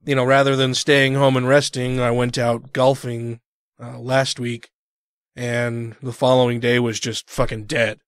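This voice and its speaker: A man's voice: mid-range voice, flat delivery, a little slow, no room sound, studio quality. Congested man hosting a casual podcast. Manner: swears freely, rambling. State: congested, recovering from illness. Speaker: podcast host. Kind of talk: casual chat.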